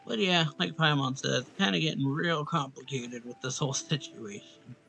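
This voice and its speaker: Male game streamer: casual, laid-back commentary, creaky bright voice, light music behind. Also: medium pitch, normal speed, low-quality recording.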